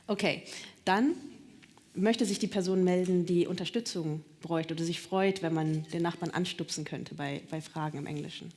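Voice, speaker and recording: A woman with a slightly low voice hosts a live stage interview. She thinks aloud as she goes, choosing her phrasing tentatively. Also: normal speed, a little mellow. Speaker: talk host. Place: live stage event at a theatre.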